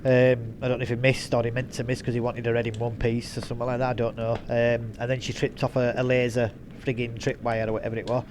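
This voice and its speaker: A man's voice: medium pitch, little pitch movement, fast, hardly any pauses, background noise. A man, congested with a head cold, chatting casually as he introduces a gameplay video with plenty of ums. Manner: chatty, rambling, frequent ums. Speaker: gaming channel host. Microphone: desk mic he switches off.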